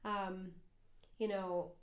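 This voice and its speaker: A woman's voice: medium pitch, flat delivery, drawn-out words. Earnest American woman in an echoey room. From United States. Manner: earnest, rambling with many fillers and restarts. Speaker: podcast co-host and cafe worker. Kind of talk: casual conversational podcast.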